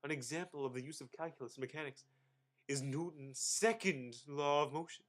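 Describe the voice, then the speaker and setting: A man giving an amateur dramatic reading of encyclopedic text, mock-grandiose, with sudden emphatic swells and theatrical pauses. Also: on the high side, fairly bright tone. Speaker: amateur reader.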